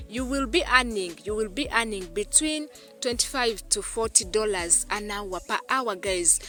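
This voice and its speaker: A woman's voice: mid-range voice, fairly bright tone. A woman from Uganda, hosting a tutorial voice-over, repeating herself often and calling her viewers "guys". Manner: repetitive, keeps addressing viewers as "guys". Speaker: YouTube channel host. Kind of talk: screen-recorded tutorial voice-over.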